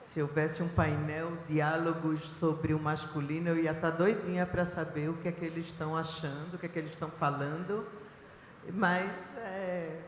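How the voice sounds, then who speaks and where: A woman from Recife with a Recife accent, speaking on a panel in a deliberate, lecturing way with frequent filler pauses. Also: low-pitched, fairly even intonation, slight background noise. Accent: Recife, Brazilian Portuguese. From Recife, Brazil. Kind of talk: panel talk. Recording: echoey hall recording.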